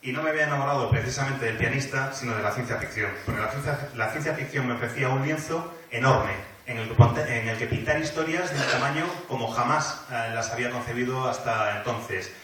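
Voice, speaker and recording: A man with an accent from Spain, interpreting a guest's anecdotes aloud in a colloquial, expressive first-person retelling. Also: mid-range voice, fast talker. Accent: Spanish, from Spain. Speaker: consecutive interpreter. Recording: very echoey hall, distant, faint noise.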